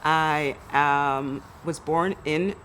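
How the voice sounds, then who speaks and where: A woman's voice: sits low, very slow, fairly bright tone, a little hiss or hum. A woman from Jamaica talking casually about her life, chatty and self-correcting, laughing easily. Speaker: church member sharing her story. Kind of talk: casual personal reflection. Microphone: studio interview mic.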